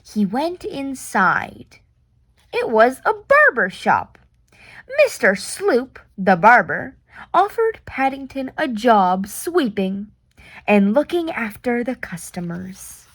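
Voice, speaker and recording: A woman reads a children's story aloud in a high, very lively voice. She takes her time over each phrase and adds playful, expressive touches for young listeners. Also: no echo. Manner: animated, deliberate, with playful reactions. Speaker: storyteller reading a picture book aloud.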